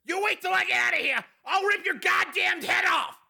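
A man voicing a big, hot-tempered working-class man in an audiobook, raspy and strained, blustering in coarse slang. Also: very high voice, expressive, normal speed, sharp tone, pitched above the performer's own voice, exaggerated voice. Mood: enraged. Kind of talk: audiobook character acting.

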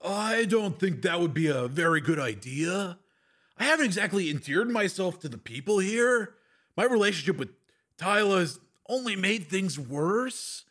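A man's voice: high-pitched, animated, a little slow, a bit bright, pitched above the performer's own voice, exaggerated voice. A man voicing a cautious, reluctant local husband in an audiobook, speaking in a hesitant, cautioning way. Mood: reluctant, uneasy. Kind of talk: audiobook character acting.

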